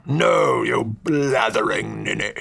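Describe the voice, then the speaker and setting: A man voicing a cantankerous elderly male duke who blusters and scolds in slow, gruff bursts. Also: mid-range voice, fairly bright tone, full character voice. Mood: blustering. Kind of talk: audiobook character voice.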